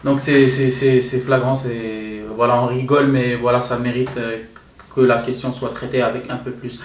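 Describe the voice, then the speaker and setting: A man giving an informal, halting football match analysis on his own, often stopping to correct himself. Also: medium pitch, flat delivery, a little hiss or hum. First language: French-speaking, not an Arabic speaker. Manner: hesitant, halting, self-correcting delivery. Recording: roomy echo, distant microphone.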